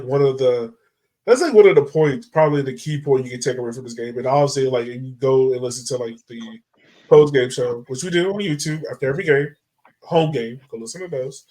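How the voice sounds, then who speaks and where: A man's voice: mid-range voice, fairly quick, darkish tone, poor audio. A man hosting a sports podcast, talking through a match in an informal, chatty, loosely phrased way. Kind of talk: casual match analysis chat.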